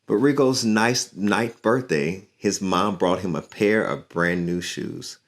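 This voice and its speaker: A man reading a picture book aloud to children in an encouraging, unhurried way. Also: sits low. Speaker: community leader and musician reading to children.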